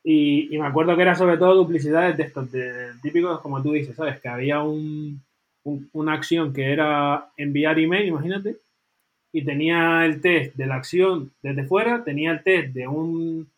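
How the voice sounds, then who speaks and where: Casting note: man, fairly high voice, animated co-host who keeps checking in with the listener. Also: moderate pace. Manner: animated, peppers speech with tag questions. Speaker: podcast co-host. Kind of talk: casual chat.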